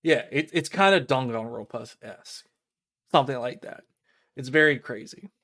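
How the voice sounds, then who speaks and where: A man giving casual commentary on a visual novel playthrough, explaining things in a rambling, halting way. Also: medium pitch, pushed and bright. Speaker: game streamer. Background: game music.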